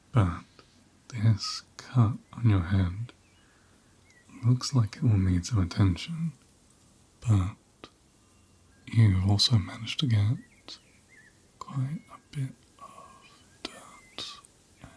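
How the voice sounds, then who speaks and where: A man playing a calm, caring ram who looks after his herd, speaking in a gentle, breathy, often whispered ASMR style close to the microphone. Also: lowish voice, full character voice. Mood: caring, firm. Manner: gentle, soothing, soft-spoken, unhurried advice-giving.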